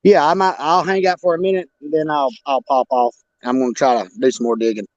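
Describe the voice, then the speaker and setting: A man's voice: on the high side, fairly even intonation, fast talker, dry recording, muffled recording. A man chatting casually as a hobbyist guest on a livestream video call, easygoing and given to repeating himself. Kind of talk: casual chat. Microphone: internet video call.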